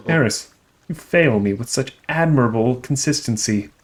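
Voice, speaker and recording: A man's voice: mid-range voice, expressive, normal speed, background noise, lightly acted. A man voicing a gloating, mocking villain for a video game, grandiose, mock-jovial and condescending. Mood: cold contempt. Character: gloating, theatrical male villain, smug and mocking. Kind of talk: character voice reading for a video game playthrough.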